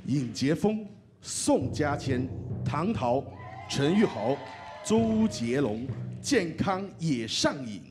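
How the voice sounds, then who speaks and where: A man's voice: a little high, takes it slowly, music in the background. A man with a Taiwanese Mandarin accent co-presenting a ceremony as a host, in a playful, joking way. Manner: animated, joking. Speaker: radio host and actor co-announcing award nominations.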